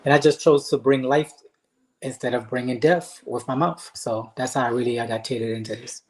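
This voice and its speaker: An earnest man from Haiti talks with the steady conviction of a speaker of faith, pausing often on soft "um"s as he gathers his thoughts. His voice sits at an ordinary middle pitch. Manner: earnest, faith-driven, with frequent "um" pauses. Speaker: guest, motivational speaker and life coach. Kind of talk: interview answers, earnest storytelling.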